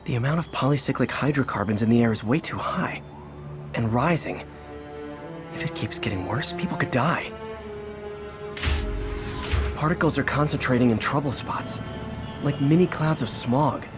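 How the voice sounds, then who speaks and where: A man's voice: mid-range voice, normal speed, pauses often, over music, close to an everyday voice. An actor playing a wry, determined young male superhero talking to himself. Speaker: hero.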